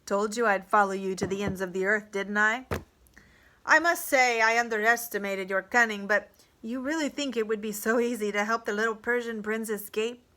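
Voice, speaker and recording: A woman puts on an old horsemaster, the villain of an adventure storybook. He gloats and taunts smugly, in a bright, forceful tone. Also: mid-range voice, steady tone, normal speed, close to an everyday voice, low-quality recording. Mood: smug taunting. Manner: taunting, smug.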